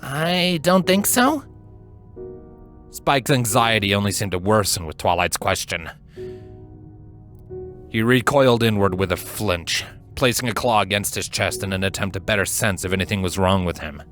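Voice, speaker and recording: A man narrating scripted fiction as a storyteller, with an expressive, suspenseful delivery. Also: low-pitched, normal speed, soft background music.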